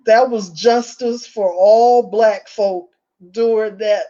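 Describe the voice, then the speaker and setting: Brief: Southern woman, slow deliberate storyteller, mellow dark tone, muffled dull call audio. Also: fairly high pitch, fairly even intonation. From American South. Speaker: panelist. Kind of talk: storytelling in a panel discussion.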